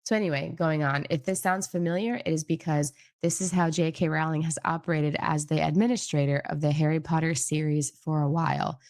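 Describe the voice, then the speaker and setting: Young female host, low and gravelly, nasal from a cold, rambling chatty read, dry close sound. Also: steady tone, brisk, few pauses, a little mellow, no echo. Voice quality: nasal, getting over a cold. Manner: rambling and hedging, with many fillers. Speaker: host of a news recap channel. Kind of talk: casual commentary reading an article aloud.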